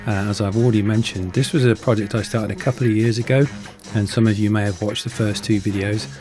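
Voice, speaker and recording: Low-voiced man narrating a hobby build. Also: fairly even intonation, fast, music in the background. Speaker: host of a prop-making channel.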